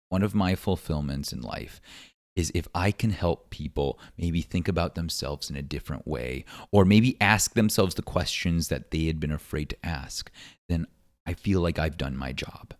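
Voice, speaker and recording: Man, deep baritone, solo podcast host, reflective and earnest, dry close mic. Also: dry recording. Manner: reflective, earnest, with many filler ums and likes. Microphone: podcast microphone.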